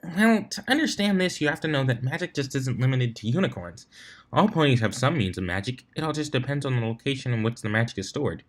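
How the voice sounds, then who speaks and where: A man's voice: mid-range voice, lively intonation, a little fast, lightly acted. A man voicing a bookish, contrary young female unicorn in a storybook reading, with a pedantic, lecturing manner.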